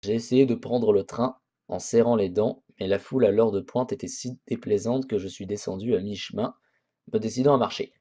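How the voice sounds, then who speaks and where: A man's voice: fairly low pitch, a little fast, dark tone, lightly acted. A man reading the inner narration of a young male protagonist from a visual novel. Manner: plain reading of game text.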